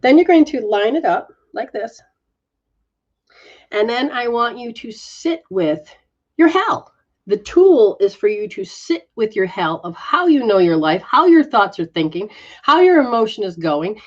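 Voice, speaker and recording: A woman giving a live spiritual reading and healing session, coaching softly with repeated phrases. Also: on the high side, very animated, a little slow, muffled recording. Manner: soft, coaching, repetitive phrasing. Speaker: metaphysical practitioner giving readings.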